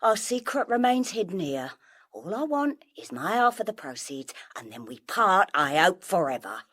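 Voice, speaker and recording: A woman's voice: lowish voice, sing-song, moderate pace, a bit bright. An actress playing a fierce, suspicious pie-shop woman and villain's accomplice in a period audio drama, clipped and imperious. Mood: cold, demanding.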